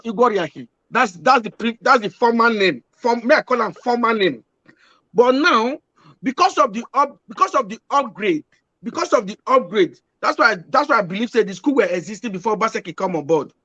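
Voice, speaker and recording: A man with a Nigerian Pidgin accent speaks on a live debate panel, stopping often to restart. His voice is very high, bright and sharp. The audio is dry and dull. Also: expressive, no echo. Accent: Nigerian Pidgin-inflected English. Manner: halting, restarts and corrects himself.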